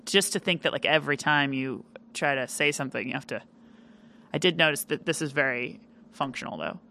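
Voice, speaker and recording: A woman chatting casually as a podcast guest, with a loud, animated delivery full of fillers. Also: very deep voice, a little fast, gravelly, slight background noise. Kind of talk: casual interview chat.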